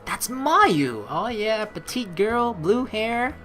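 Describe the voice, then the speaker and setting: A woman doing chatty, excitable game commentary, mixing story text read aloud with playful asides. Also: a little high, slow, soft background music. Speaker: let's play host.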